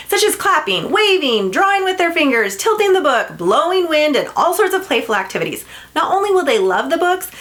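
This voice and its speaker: A woman's voice: sits high, a bit bright. A woman giving an upbeat, enthusiastic scripted promotional narration, like a children's book seller. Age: adult, parent of a school-age child.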